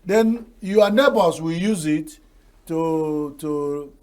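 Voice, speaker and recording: A man's voice: fairly high pitch, slow pace, mellow. An older Nigerian man whose first language is Igbo, giving folksy TV talk show commentary full of proverbs and chuckles. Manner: folksy, proverb-laden, laughs at his own jokes.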